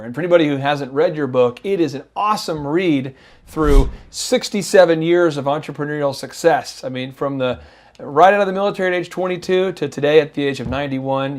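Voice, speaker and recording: Man, podcast host, mid-pitch voice, enthusiastic, appreciative. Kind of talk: interview chat.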